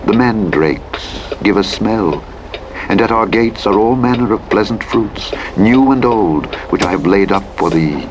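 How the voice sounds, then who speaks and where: A man narrating the Bible in a measured, solemn scripted reading. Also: lowish voice, expressive. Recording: clear vehicle and road noise throughout. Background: truck engine and road sounds from a driving game.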